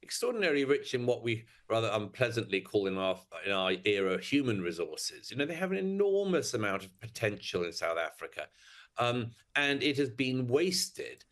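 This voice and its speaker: A male commentator giving measured, emphatic opinions with hesitant restarts. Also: medium pitch, very animated, a bit bright. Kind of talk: interview commentary.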